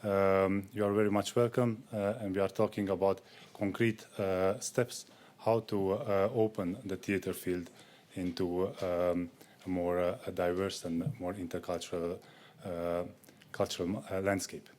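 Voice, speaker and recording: Hesitant low-voiced man. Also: flat delivery, unhurried, darkish tone, a little hiss or hum. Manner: hesitant, many fillers. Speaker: seminar participant announcing an event. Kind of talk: spontaneous announcement.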